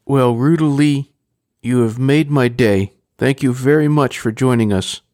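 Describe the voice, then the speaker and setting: A male host, relaxed and quick to laugh, chatting with his guest. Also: medium pitch, no echo, studio quality. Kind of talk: casual interview chat.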